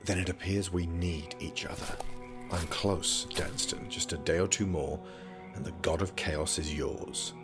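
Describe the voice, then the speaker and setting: A man acting in an audio drama as a young scholarly prince, speaking with dry, measured, formal wit. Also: low voice, fairly even intonation, over music. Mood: determined.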